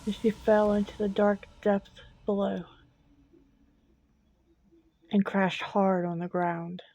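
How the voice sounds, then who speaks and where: Slow, suspenseful woman storyteller. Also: medium pitch, level tone, mellow, poor audio. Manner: halting, suspenseful phrasing with drawn-out pauses. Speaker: storyteller, host. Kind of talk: dramatic story narration.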